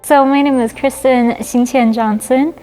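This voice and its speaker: Woman, twenties, from China; fairly high voice, halting with fillers, soft music underneath. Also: steady tone, poor audio. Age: 20s. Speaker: interviewee. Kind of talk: personal testimony.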